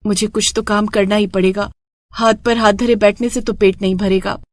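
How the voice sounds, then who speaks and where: A woman's voice: medium pitch, fairly even intonation, moderate pace, a little hiss or hum, lightly acted. A woman voicing a poor, orphaned young village woman, earnest, plaintive and polite, in a cartoon moral story. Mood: worried.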